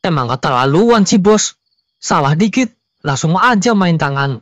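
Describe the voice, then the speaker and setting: A man's voice: on the high side, lively intonation, moderate pace, a bit bright, no room sound, highs cut off. Casting: male actor for protective young coworker in a cartoon, grudge-holding and blunt, closely dry sound. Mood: indignant. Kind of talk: cartoon dialogue, casual slang.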